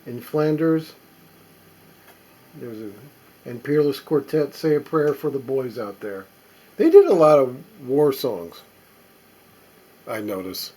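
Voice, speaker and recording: A man with a husky, slightly breathy voice, reading record labels aloud as he sorts them. His delivery is halting and unhurried, full of little asides to himself. His pitch sits in an ordinary middle range. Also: darkish tone, low-quality recording. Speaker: record collector. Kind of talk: casual running commentary while sorting records.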